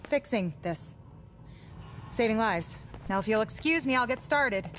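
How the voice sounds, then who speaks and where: A woman's voice: fairly high pitch, lively intonation, a little slow, fairly bright tone, slight background noise. A woman acting as a driven, impatient virologist in a video game, brusque and sarcastic. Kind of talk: dramatic game cutscene acting.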